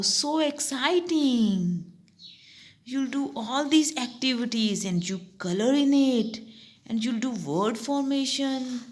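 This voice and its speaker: Slow, sing-song woman teacher. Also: fairly high pitch. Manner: slow, sing-song and enthusiastic, spelling words out letter by letter. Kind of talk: explaining a summer task guideline to young pupils.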